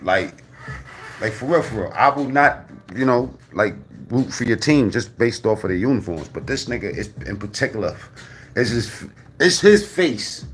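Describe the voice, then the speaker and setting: A man's voice: medium pitch, very animated, normal speed. A man giving casual, joking reaction commentary on a video, loose and profane, often repeating himself. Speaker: reaction streamer. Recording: roomy echo, faint noise.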